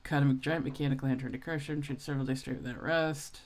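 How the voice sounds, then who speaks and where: A woman's voice: very deep voice, steady tone, quick pace. A woman in her forties giving casual, off-the-cuff reaction commentary as a video host, wry and given to sighs. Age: 40s.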